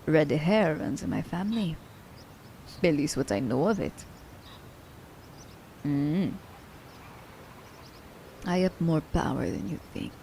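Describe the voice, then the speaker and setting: A woman acts out an immortal female assassin, sly and haughty, in an intimate ASMR drama. Her voice is lowish and mellow, with plenty of fry and a breathy edge. She speaks in a theatrical, old-fashioned formal way, teasing as she goes. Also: very animated, slow, pauses often, a little hiss or hum, exaggerated voice, poor audio. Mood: sly, musing. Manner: theatrical, formal storybook diction. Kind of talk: ASMR roleplay audio drama.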